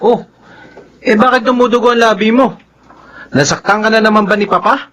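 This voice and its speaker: A man's voice: high-pitched, normal speed, fairly bright tone, highs cut off. A young man playing a worried younger brother in a radio drama. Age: young adult. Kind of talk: Filipino radio drama acting. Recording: noisy radio drama scene.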